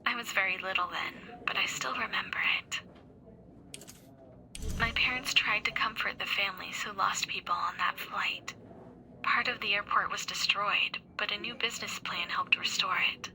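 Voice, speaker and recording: A woman's voice: mid-range voice, moderate pace, sharp tone, slight background noise, low-quality recording. An actress playing an earnest young woman who recounts memories like a storyteller in a video game cutscene. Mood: somber.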